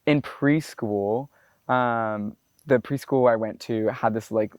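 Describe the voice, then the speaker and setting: A man's voice: mid-range voice, takes it slowly, clear, resonant tone, no room sound. A man in his twenties talking casually and reflectively in a documentary interview, his speech loose and full of "like" fillers. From United States. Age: 20s. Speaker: botanist and climber being profiled.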